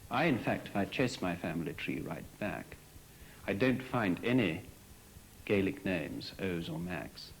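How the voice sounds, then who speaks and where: Man, very low gravelly voice, lively swooping pitch, formal and hesitant, faint hiss behind. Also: low-quality recording. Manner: formal, hesitant, choosing words with pauses. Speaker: interviewee. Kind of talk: measured interview reflection.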